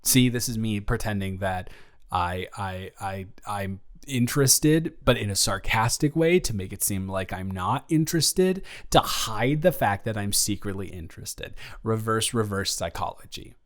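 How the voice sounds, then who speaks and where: A man's voice: fairly low pitch, animated, a little slow. A man playing a young, teasing, easily flustered best friend in an improvised audio roleplay, joking and rambling with stammered restarts. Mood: sheepish, evasive. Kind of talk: improvised audio roleplay, casual one-sided conversation.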